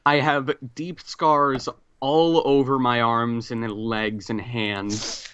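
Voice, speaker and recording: A man's voice: mid-range voice, level tone, takes it slowly, fairly bright tone, slight background noise. A man playing a tabletop game, casually describing and chatting, over dull lo-fi audio. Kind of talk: casual character description and chat.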